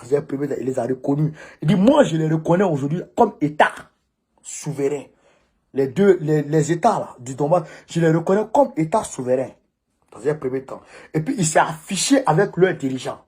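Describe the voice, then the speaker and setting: A man with a West African French accent delivering an informal political monologue as a commentator, emphatic and repeating phrases for stress, with a husky voice. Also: fairly high pitch, animated, fairly quick, darkish tone.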